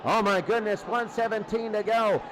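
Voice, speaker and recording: A man calling live American football play by play in an excitable, exclaiming manner. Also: sits high, normal speed. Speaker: play-by-play announcer. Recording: clearly noisy recording.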